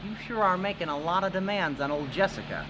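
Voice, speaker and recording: A good-natured teenage boy played by an actor, bright-voiced and lively in pitch. He teases wryly in 1970s radio drama style, over car noise. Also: fast talker, dull audio, close to an everyday voice. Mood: wry teasing. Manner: teasing, wry. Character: good-natured sixteen-year-old boy driving his first car. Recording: engine noise behind the voice. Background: car engine noise.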